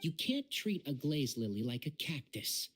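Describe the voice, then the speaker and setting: An actor playing a brisk, wry young male botanist in a video game, articulate and matter-of-fact. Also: fairly high pitch, very animated, husky. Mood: exasperated.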